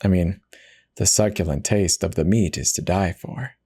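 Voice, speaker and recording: A man's voice: sits low, moderate pace, dark tone, slightly rough. A man voicing a self-justifying, conversational narrator who casually confesses in a first-person dramatic horror reading. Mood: casual, defensive. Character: confessional devout man turned self-eater, casually rationalizing.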